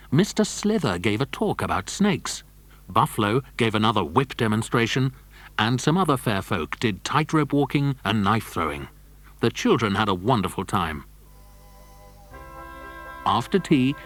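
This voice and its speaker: Deep-voiced, animated male storyteller. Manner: expressive storytelling. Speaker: narrator. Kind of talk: scripted children's story narration.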